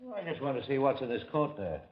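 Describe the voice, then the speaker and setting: An actor playing a nervous, defensive businessman in a 1950s radio drama, flustered and halting. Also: medium pitch, big pitch swings, lightly acted. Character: nervous, defensive businessman under police suspicion. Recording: tinny, echoing old radio recording.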